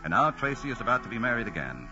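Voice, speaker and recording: Animated male old-time radio announcer. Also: medium pitch, rapid-fire. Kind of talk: 1940s radio announcing, scripted narration. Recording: dull old radio recording with music. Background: loud music.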